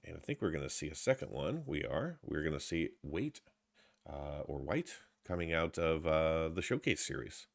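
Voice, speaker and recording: A man with a stuffy head cold casually commenting as he opens trading card packs, reading out card names with low energy. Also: big pitch swings, normal speed, vocal fry. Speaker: card box opener.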